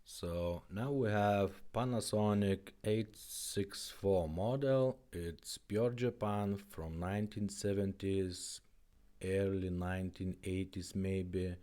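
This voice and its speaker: A man giving a casual product demonstration in halting, plain English. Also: deep voice, steady tone, mellow.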